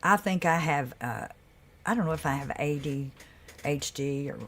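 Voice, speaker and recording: Casual female stream host, deep low voice that carries, talks loosely to viewers. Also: moderate pace, a bit bright. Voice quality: deep, carrying voice. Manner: loose and chatty, with laughs and asides to viewers. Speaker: livestream host. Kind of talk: casual live chat.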